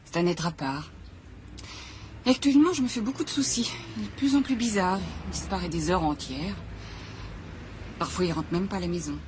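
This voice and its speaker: A woman's voice: on the low side, lively intonation, a little fast, long pauses, natural voice. An actress playing an anxious, suspicious but proud wife in a French radio drama, nervous and apologetic as she corrects herself. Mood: worried. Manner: nervous, self-correcting, shifting from firm to faint. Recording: clearly audible background noise.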